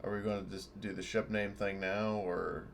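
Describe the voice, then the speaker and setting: A man with a deep, creaky voice chats casually as a player in an online tabletop game. There is a light layer of noise on his home mic. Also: level tone, normal speed.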